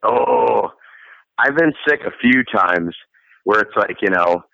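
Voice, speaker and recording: A man's voice: on the low side, expressive, takes it slowly, gravelly, dry recording, lo-fi sound. A man from Florida, a singer, answering interview questions in a loose, chatty way and laughing often as he talks. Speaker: guest singer.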